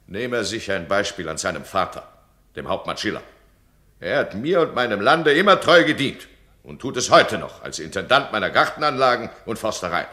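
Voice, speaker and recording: An actor playing a domineering duke who scolds his subject in a radio play, his voice swinging between cold and loud. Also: on the low side, big pitch swings, moderate pace. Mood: imperious. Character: domineering stern duke, absolute ruler. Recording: roomy radio drama studio.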